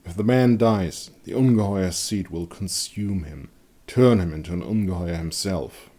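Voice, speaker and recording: A man voicing a grim veteran monster hunter in a dark fantasy story, speaking gravely and honestly. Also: sits low. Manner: grave, hushed, deliberate.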